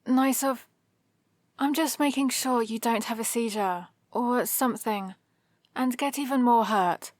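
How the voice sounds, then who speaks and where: A woman's voice: on the high side, measured pace, no room sound, lightly acted. A woman with a British accent playing a sardonic supervillain who grudgingly cares for an injured rival, in a dry, teasing ASMR roleplay. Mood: grudgingly caring. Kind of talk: ASMR roleplay, close and unhurried.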